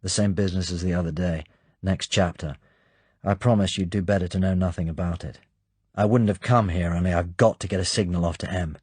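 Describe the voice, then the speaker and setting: A weary, injured British agent, tired and understated, in a low husky voice. A man reads him close to the mic. The recording is dry. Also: fairly even intonation, brisk, a little mellow, dry recording.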